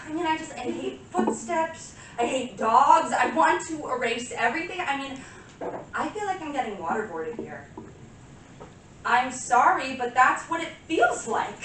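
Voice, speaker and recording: An actress playing a neurotic, highly strung woman composer in a rambling, halting naturalistic stage monologue. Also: pushed and bright, exaggerated voice. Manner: rambling, exasperated, halting self-interruptions. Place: small live stage venue with audience. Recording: very echoey room, distant mic, faint noise.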